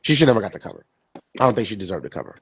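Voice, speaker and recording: A man's voice: lowish voice, sing-song, rapid-fire, slightly rough, no room sound. A young man hosting a podcast, chatting casually about sports, stuffy with a cold and rambling with many fillers and repeats. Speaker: podcast co-host.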